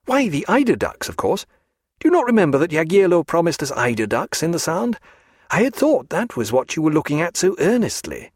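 Audiobook role: excitable scholarly birdwatcher at sea, pitched high, very animated, pompous, quick to outrage, performed by a male reader. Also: husky, dry recording, studio quality. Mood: eager surprise. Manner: exclamatory, formal old-fashioned speech, pompous. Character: excitable, learned ship's man, keen birdwatcher, easily outraged.

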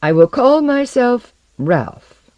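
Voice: mid-range voice, wildly swooping pitch, normal speed, mellow, no echo, pitched above the performer's own voice. A reader voicing an anxious but proud male character who questions everything earnestly. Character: anxious, proud, searching male blank character seeking identity.

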